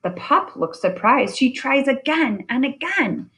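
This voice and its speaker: A woman reading a children's nonfiction picture book aloud in a deliberate way, with chatty asides. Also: sits high, sing-song, a bit bright. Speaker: reader of children's science book.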